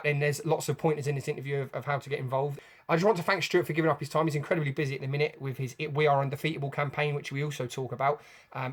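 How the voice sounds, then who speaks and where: A man with an English accent hosting an interview podcast in a chatty, polite manner. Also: on the high side.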